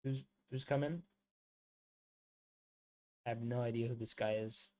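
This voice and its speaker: A man's voice: medium pitch, steady tone, measured pace, a little mellow. Casual man streaming a football watchalong. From United States. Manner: casual, rambling, many "like" fillers, calls viewers mate and bro. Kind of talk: live match watchalong commentary and chat.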